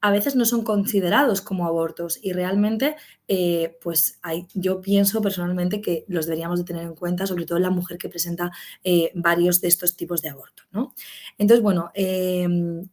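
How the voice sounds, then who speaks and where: A woman's voice: lowish voice, fairly even intonation, moderate pace, darkish tone. Woman doctor giving a casual medical talk. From Spain. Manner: conversational, frequent fillers and tag questions. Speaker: gynecologist and clinic medical director. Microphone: video call.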